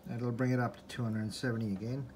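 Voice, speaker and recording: A man's voice: medium pitch, flat delivery, fast. A man giving an informal, unscripted repair walkthrough, thinking aloud as he explains in a hesitant way. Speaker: hobbyist restorer presenting a repair. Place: indoors at a desk in a room. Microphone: handheld phone or camera, behind the lens. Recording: handheld phone video with background noise.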